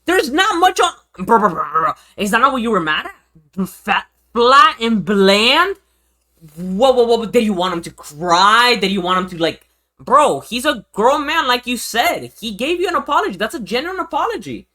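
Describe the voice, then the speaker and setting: Casting: young male streamer from Venezuela, casual reaction chat, wide swings in pitch, sharp-edged tone, slangy and repetitive. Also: normal speed. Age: young adult. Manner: animated, slangy, swearing, repetitive.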